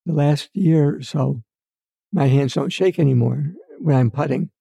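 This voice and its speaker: An older man speaks in a raspy, gravelly voice with a touch of breathiness. His tone is mellow and dark, and he answers plainly and modestly. The recording is dry and close. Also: on the high side, a little slow, dry recording. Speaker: interview guest, retired dentist. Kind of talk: casual interview answers.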